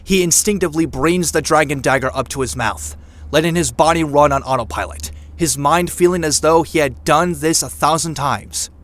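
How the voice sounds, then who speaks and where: A man's voice: on the high side, normal speed, a bit bright, close to an everyday voice. A man narrating an audio drama in a scripted, dramatic storytelling style.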